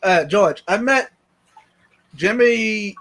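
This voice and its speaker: A man hosting a casual livestream with a high-pitched voice, throwing in jokes and short prompts to keep his guests talking. He sounds like he is on a call mic. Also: animated, very slow, a bit bright. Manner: joking, brief prompts and backchannels. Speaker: livestream host. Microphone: video call mic.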